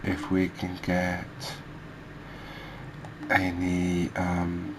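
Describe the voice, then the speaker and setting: A man hosting a gaming video, giving soft ASMR commentary in a halting, hesitant manner. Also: low voice. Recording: steady background noise.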